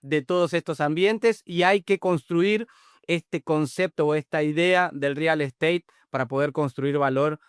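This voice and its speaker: Guy hosting a chat, high smooth voice, rambly, bits of portuguese creep in, dry sound. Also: unhurried, no room sound. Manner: rambling, mixes in Portuguese words. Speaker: podcast host. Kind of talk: casual interview chat.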